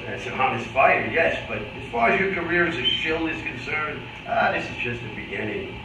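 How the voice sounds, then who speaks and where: A man's voice: mid-range voice, expressive, normal speed, close to an everyday voice. Man playing a blunt boxing manager, echoey room. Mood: stern, warning. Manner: blunt, cautionary, matter-of-fact. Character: world-weary, blunt boxing manager, lecturing his fighter. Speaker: actor in a staged reading. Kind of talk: staged reading of a boxing drama. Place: live staged reading in a roomy indoor space. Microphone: distant video camera. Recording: echoey, noisy room, distant camera microphone.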